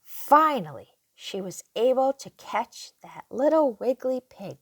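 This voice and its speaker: An older woman reads a children's story in a very animated, fairly high voice. She takes her time, shaping each phrase expressively for young listeners. The sound is close and dry. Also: slightly rough, no room sound. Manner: animated, unhurried, acts out dialogue. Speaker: storyteller reading a children's picture book.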